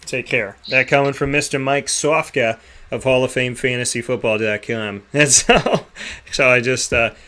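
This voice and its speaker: A man hosting a sports radio show, giving rambling, energetic shout-outs and sponsor plugs. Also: mid-range voice, moderate pace. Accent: Boston. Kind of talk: enthusiastic sign-off with sponsor plugs.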